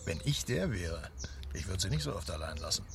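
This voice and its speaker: A man's voice: deep voice, sing-song, brisk, gravelly, noisy background, exaggerated voice. A man playing an easygoing, teasing farmer neighbour in a radio drama, casual and laconic.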